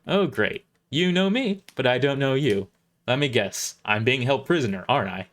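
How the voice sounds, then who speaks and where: Brash wounded female crusader, sardonic, demanding, animated intonation, fantasy audio story; male reader. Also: medium pitch, normal speed, lightly acted. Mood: sarcastic. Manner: blunt, cheeky, demanding. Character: brash, sarcastic injured woman crusader, Lady Mordred.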